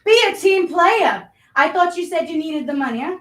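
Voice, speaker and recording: A woman's voice: very high voice, animated, normal speed. A woman playing an abrasive, impatient, foul-mouthed restaurant boss in a staged reading, brash, blunt and badgering. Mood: pushy, impatient. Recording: roomy echo.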